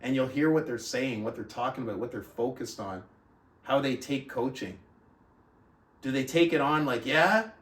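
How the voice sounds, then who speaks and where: A man's voice: mid-range voice, normal speed, a bit bright. Guy doing motivational book club host talk, asks listeners questions, echoey and a bit far from mic. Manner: addresses listeners with rhetorical questions. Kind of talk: book read-aloud and motivational coaching talk. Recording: roomy echo, distant from the mic.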